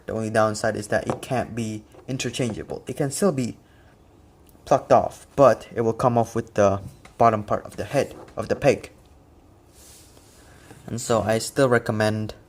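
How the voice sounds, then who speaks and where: A man casually explaining his custom figure work step by step, with occasional fillers. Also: fairly low pitch. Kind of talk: casual product walkthrough.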